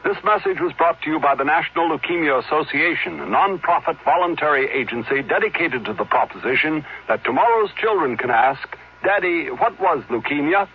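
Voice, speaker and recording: Husky, solemn male announcer. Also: on the high side, expressive. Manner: solemn, measured. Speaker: announcer of a charity appeal. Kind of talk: scripted public service announcement. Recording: muffled old radio broadcast.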